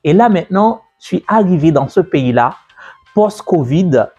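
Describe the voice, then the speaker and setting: A man telling the story of his own business journey in a casual way, repeating himself and pausing with fillers. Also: a little high, expressive, dark tone, breathy edge. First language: French. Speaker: entrepreneur and business coach. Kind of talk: personal story told to camera. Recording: dull, lo-fi sound with faint music.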